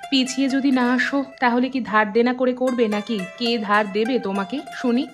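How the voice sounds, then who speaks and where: Woman as a high-voiced, skeptical village wife. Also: fairly even intonation, normal speed, faint music behind, natural voice. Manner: skeptical, firm. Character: practical, questioning but loyal poor village wife. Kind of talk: cartoon story voice acting.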